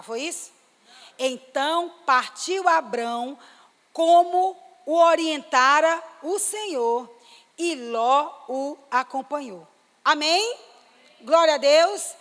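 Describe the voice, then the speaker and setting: High-voiced woman preaching in a hall. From Brazil, Fortaleza. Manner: emphatic, builds to excited exclamations. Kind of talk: sermon. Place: church. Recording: reverberant church hall.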